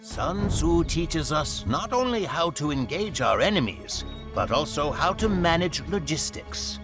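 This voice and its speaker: A solemn mentor of war in a strategy game. His speech is formal and instructive, addressed to a commander, with lively rises and falls in pitch. Music plays clearly behind him. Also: unhurried. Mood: grave, instructive. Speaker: narrator and tutor. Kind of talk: scripted game cutscene narration.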